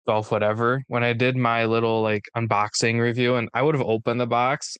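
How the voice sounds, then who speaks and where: A man's voice: mid-range voice, little pitch movement, fairly quick, a bit bright, clean tone, no room sound. A man co-hosting a podcast, joking around and chatting in loose, rambling asides. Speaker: podcast co-host. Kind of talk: casual podcast chat.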